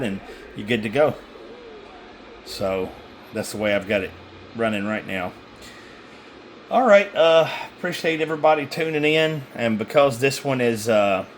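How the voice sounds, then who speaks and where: Guy w a lowish voice doing a casual rambling demo of radio gear for sale, noisy phone recording. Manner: rambling, hesitant, self-correcting. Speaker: seller demonstrating used radio gear. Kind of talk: casual unscripted product demo. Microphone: handheld phone. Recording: clear background noise on handheld phone.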